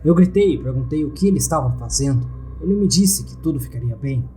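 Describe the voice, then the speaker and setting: Brazilian man narrating horror, dark-toned voice. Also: mid-range voice, animated, fairly quick, faint music behind. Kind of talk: scripted horror story narration in first person.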